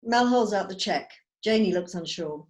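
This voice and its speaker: Casting: woman narrator, medium pitch, scripted stage directions, table read on a video call. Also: animated, moderate pace.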